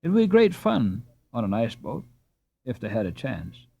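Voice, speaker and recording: A man reading a storybook aloud as an audiobook narrator, in an expressive, scripted style. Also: medium pitch, moderate pace, creaky, husky, dull audio.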